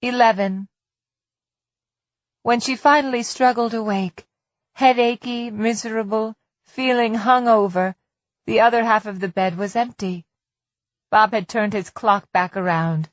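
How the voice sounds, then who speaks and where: Woman reading a dark novel, slow and careful, kinda roomy dull sound. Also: fairly high pitch. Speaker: audiobook narrator. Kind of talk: scripted literary narration, suspense fiction.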